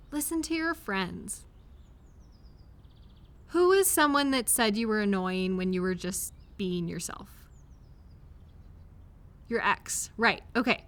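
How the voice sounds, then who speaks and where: A woman playing an affectionate, reassuring friend or partner in an intimate comfort audio roleplay, speaking gently and directly to the listener. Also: medium pitch. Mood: concerned, supportive.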